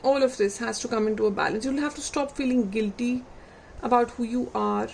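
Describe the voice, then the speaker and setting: A woman reading tarot cards for her viewers, unhurried and reflective, putting gentle questions to them and losing her voice. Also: on the high side, fairly even intonation, creaky, slight background noise. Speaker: tarot reader.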